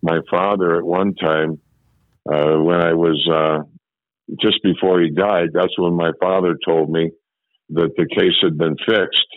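A very deep-voiced older man comes through a thin, tinny phone line. He talks haltingly, circling back and repeating words as he recalls his past. The sound is close and dry, with no room around it. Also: fairly even intonation, no room sound. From Chicago, USA. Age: 70s. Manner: halting, repeats and restarts phrases. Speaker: interviewee, former policeman. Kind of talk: reminiscing in a phone interview. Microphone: telephone.

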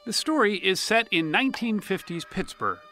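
Male TV news narrator, polished; bright, lively delivery. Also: high-pitched, soft background music. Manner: polished, measured storytelling. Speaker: correspondent.

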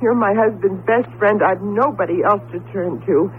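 An actress playing an emphatic, sardonic wife in a 1970s radio drama, with wry, theatrical delivery. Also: female voice, mid-range voice, normal speed, narrow, tinny audio, lightly acted. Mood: frightened, pleading. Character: exasperated, sardonic wife of an Egyptology professor. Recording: thin, noisy 1970s radio recording.

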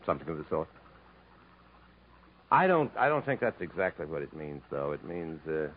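Deep-voiced, world-weary drifter, old radio. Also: male voice, very animated, breathy edge, exaggerated voice. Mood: weary, evasive. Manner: measured, literate, reflective, halting when pressed on feelings. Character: world-weary drifting man, haunted by past pain, guarded and remorseful. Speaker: actor and first-person narrator in a radio play. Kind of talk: 1950s American radio drama acting. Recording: muffled, noisy 1950s radio recording.

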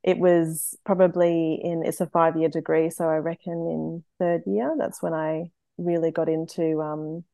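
An Australian woman from Queensland, a vet, answering interview questions with many pauses and ums. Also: deep voice, little pitch movement, gravelly, dry recording. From Brisbane, Queensland. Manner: hesitant, many ums, laughs softly. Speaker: guest, veterinarian and runner. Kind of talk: casual interview chat.

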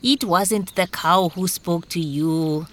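A bossy talking thing from a storybook, given voice by a woman hands out orders. The words are slow and drawn out, commanding yet matter-of-fact. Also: on the low side, a bit bright, slight background noise. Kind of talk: storybook character voice.

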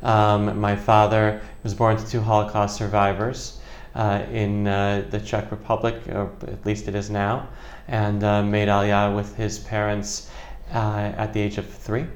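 A man's voice: on the low side, completely flat delivery, measured pace, reverberant. A middle-aged man with an American accent answering interview questions conversationally, with a wry, joking delivery. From United States, Chicago area. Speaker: interview guest, political journalist. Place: indoor office in a parliament building.

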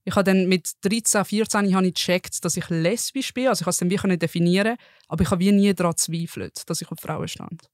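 A woman's voice: fairly low pitch, quick pace, darkish tone, dry recording, studio quality. Candid young Swiss woman. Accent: Swiss German. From Basel, Switzerland. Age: 20s. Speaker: student, podcast guest. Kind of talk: casual podcast chat.

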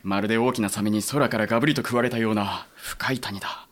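An actor playing an earnest, deferential young samurai aide, polite and excitable, in a period audio drama. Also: male voice, lowish voice, quick pace, close to an everyday voice. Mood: eager, respectful.

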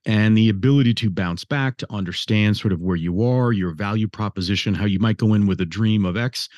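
A man's voice: lowish voice, a little mellow, no room sound, clean studio sound. An older man hosting an interview, asking long, meandering questions full of fillers. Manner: rambling, thinking aloud with many fillers. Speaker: host interviewer.

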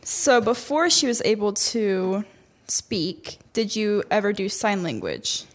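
A woman guest on a podcast, reading out listener questions in a soft, slightly nervous way. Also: mid-range voice, big pitch swings, slow. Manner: soft-spoken, a little nervous.

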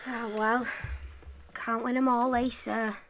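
An actress playing a down-to-earth young undertaker's apprentice in an audio sitcom, informal and plain-spoken. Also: female voice, a little high, little pitch movement, slow, a bit bright, slight background noise. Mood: exasperated.

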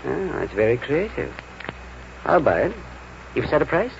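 A man's voice: mid-range voice, very animated, normal speed, creaky. A businesslike gallery owner in an old radio play. His probing, matter-of-fact voice moves up and down a lot. The vintage recording is dull with some faint noise. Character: brisk, businesslike, impatient male art gallery owner. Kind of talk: 1940s radio drama acting.

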